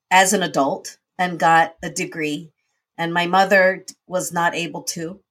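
A woman's voice: lowish voice, fairly bright tone. A woman guest in a conversational interview, telling her life story in an earnest, reflective way. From United States. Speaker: guest, nonprofit founder and CEO.

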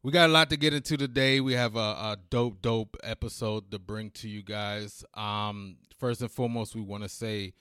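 A man's voice: lowish voice, fairly even intonation, moderate pace, hardly any pauses, a bit bright, smooth, no echo, studio quality. A man co-hosting a casual talk show, who is losing his voice and talks loosely with fillers and slang. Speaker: podcast co-host. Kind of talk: casual chat.

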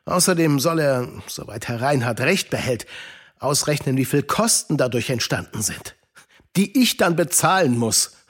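A man's voice: mid-range voice, lively intonation, moderate pace, slightly rough. A man acting a kindly, devout uncle in a German children's radio play, earnest and shaken. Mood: earnest, steadfast.

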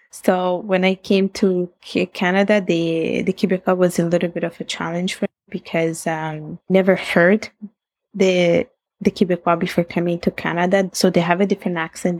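Young woman w italian accent, kinda low and flat, a bit husky, dry close mic. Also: no room sound. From Italy. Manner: reflective, laughs often, self-correcting restarts. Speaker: guest. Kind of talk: casual interview talk.

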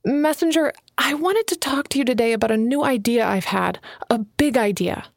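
A woman's voice: fairly high pitch, animated, moderate pace, creaky, dry recording, studio quality. A woman reading an audiobook, voicing an eager, earnest young woman writer who pleads and bristles. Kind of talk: audiobook character voice.

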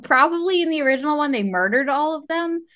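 A woman's voice: high voice, expressive, fast, fairly bright tone, dull audio. A woman co-hosting a podcast, chatting casually about film with wry, joking exaggeration. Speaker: podcast co-host.

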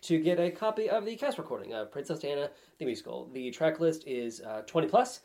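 Fast-talking, playful man with animated pitch. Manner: playful, rambling. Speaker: podcast guest. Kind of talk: casual comic podcast chat.

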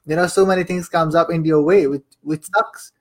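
A young man from Saudi Arabia and Pakistan speaks in a slightly high voice. He tells his own story like a chatty interview guest, informal and fond of repeating a phrase for emphasis. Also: fairly even intonation, moderate pace. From Saudi Arabia, later Pakistan. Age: young adult. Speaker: podcast guest, affiliate marketer and coach. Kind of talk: casual personal storytelling in an interview.

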